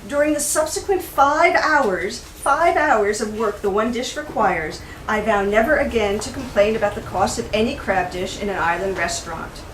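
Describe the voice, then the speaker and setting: A middle-aged woman giving an expressive live reading of a travel memoir, telling it with wry humor and emphatic pauses. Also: a little high. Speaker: author reading from their book. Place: indoors in a store at a live event. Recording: roomy, distant mic with faint background noise.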